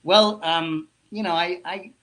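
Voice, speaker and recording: A man from Russia, a musician talking about his background in a casual interview, halting and searching for words. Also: high-pitched, fairly even intonation, pushed and bright, poor audio. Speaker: musician guest.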